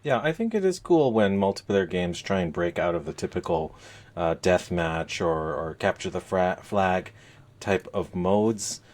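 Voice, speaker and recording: A middle-aged man hosting a casual podcast, talking in a measured, hesitant way with frequent pauses. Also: sits low, expressive, a little mellow. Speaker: podcast host. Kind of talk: casual gaming podcast chat.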